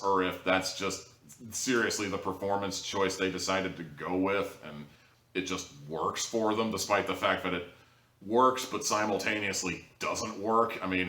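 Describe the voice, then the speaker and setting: A man's voice: on the low side, sharp tone. A man giving a casual, opinionated review to camera in a wry, joking, sarcastic manner. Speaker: audio drama reviewer, video host. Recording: roomy echo, distant from mic.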